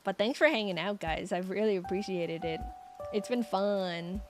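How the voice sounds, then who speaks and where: A woman's voice: mid-range voice, moderate pace, faint music behind. A young woman streamer in casual chat with her viewers, rambling and giggly with lots of fillers, her voice getting scratchy.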